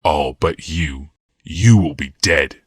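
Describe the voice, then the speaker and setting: A man voicing an arrogant, menacing warrior-healer in a fantasy audiobook, speaking with imperious, deliberate command. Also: very deep voice, husky, dry recording, studio quality, full character voice. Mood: arrogant menace.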